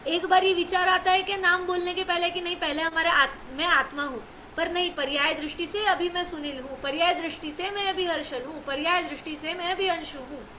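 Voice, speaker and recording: Bright, high-voiced woman teaching scripture. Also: fairly even intonation, a little fast, slight background noise. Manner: asks and answers her own questions, repeats "है ना". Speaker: religious teacher. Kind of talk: scripture class explanation.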